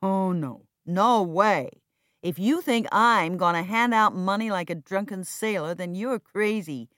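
A woman's voice: mid-range voice, unhurried, fairly bright tone, no room sound, clean studio sound, pitched above the performer's own voice, lightly acted. A woman playing a cold, flippant and bitter grown son in an audiobook drama, with a detached, sardonic and dismissive manner. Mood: scornful, defiant.

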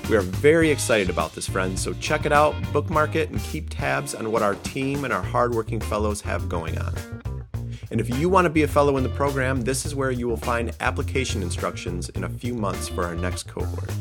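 A man's voice: mid-range voice, fairly quick, gravelly, over music. A man hosting a podcast, introducing his guest and asking friendly, upbeat questions. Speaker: podcast host. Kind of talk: podcast interview.

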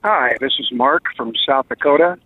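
A man's voice: medium pitch, moderate pace, no room sound. Man on a dry, tinny phone line. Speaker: radio listener leaving a message. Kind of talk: casual voicemail message. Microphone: telephone.

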